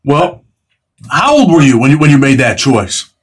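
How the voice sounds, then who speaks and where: A man's voice: mid-range voice, big pitch swings, slightly rough. A man in his forties hosting a casual interview, talking in a conversational, streetwise way. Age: 40s. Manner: fast, conversational, streetwise slang. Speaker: host.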